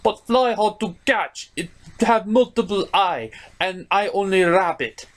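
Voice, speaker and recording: A man's voice: high-pitched, a little slow, voice pushed up. A man voicing a simple-minded restaurant server puppet who speaks plainly in broken English in a comic skit. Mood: apologetic. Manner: broken grammar, plain-spoken. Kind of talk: comic puppet show acting.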